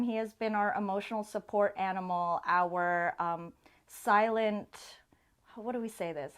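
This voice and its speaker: A woman's voice: mid-range voice, fairly bright tone, clean tone. A woman hosting an interview show in a casual, conversational style, informal and effusive as she speaks.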